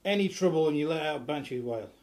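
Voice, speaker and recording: A man's voice: lively intonation, quick pace, a little mellow. A man playing a furtive, bossy criminal lookout in a radio play. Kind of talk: audio drama acting. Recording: echoey, roomy, heard at low level.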